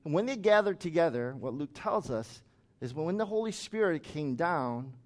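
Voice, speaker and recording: Male pastor, slightly high voice, conversational sermon with asides. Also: lively intonation, normal speed, darkish tone. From United States. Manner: conversational preaching with chatty asides.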